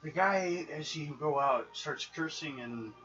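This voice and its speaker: A man running a tabletop game as game master, narrating and chatting casually in a halting, rambling way. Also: fairly high pitch, animated, distant mic. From United States. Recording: dull lo-fi live recording with hiss.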